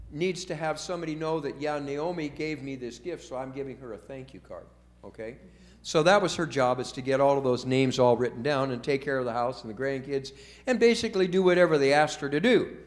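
Older guy in his 70s, kinda high voice, chatty storytime with kids, keeps asking them stuff. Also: fairly quick. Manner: folksy, asks the children questions. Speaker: storyteller for children. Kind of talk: casual children's storytime. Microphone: told from memory without notes.

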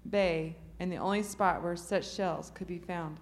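A woman reading a short story aloud to children in a steady storytelling manner. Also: on the low side, measured pace. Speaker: story reader.

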